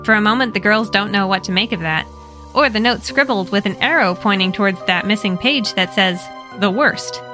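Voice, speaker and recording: American woman story host, bright, animated, wry. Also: mid-range voice, fairly quick, faint music behind. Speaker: podcast host and narrator. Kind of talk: storytelling, retelling a manga.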